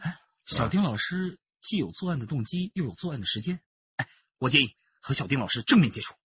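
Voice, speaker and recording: A man's voice: fairly high pitch, expressive, a little fast, a bit bright, breathy edge, no echo, muffled recording, full character voice. An actor playing a shrewd, genial male police detective in a radio drama. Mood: scheming. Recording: band-limited radio drama with music behind.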